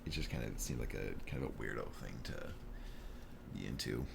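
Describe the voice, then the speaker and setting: A man talking softly in an ASMR drawing video, relaxed and slow, slipping into whispers now and then. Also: vocal fry, background noise. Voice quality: deep voice. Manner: soft-spoken, slow and relaxed, drifting into whispers. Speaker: ASMR artist. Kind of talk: soft-spoken ASMR chat while drawing.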